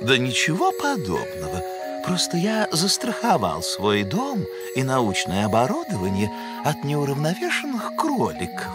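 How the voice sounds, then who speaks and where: A man voicing a pompous male elk scientist who lectures his friends in a lofty tone, over loud cartoon music. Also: medium pitch, huge pitch swings, measured pace.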